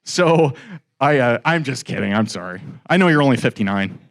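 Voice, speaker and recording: A man's voice: medium pitch, lively intonation, normal speed, gravelly. A man in his forties giving an informal talk to a live audience in a room, chatty and joking, teasing listeners in asides. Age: 40s. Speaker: guest speaker at an investor meetup.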